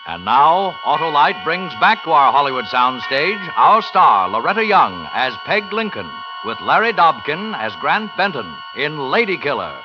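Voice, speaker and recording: A man's voice: fairly high pitch, big pitch swings, normal speed, few pauses. Male announcer, 1940s radio style, dramatic delivery, music underneath, dull vintage recording. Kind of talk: 1940s scripted sponsor announcing.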